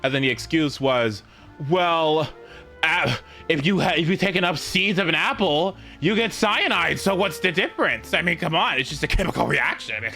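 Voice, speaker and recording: A man's voice: fairly high pitch, lively intonation, normal speed, vocal fry, bright, forceful tone. A man livestreaming reactions to a story game, talking in a theatrical, repetitive, joking way. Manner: theatrical mock outrage, heavy swearing, repetitive. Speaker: game streamer. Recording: faint game music behind.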